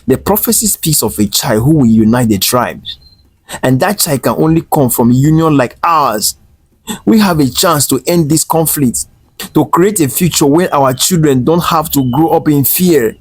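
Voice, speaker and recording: A man voicing a resolute young man in a folktale, pleading earnestly and with deep conviction. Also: animated, moderate pace, breathy edge. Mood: impassioned. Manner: impassioned, full of conviction. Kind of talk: dramatic folktale character voice. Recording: roomy echo over faint music.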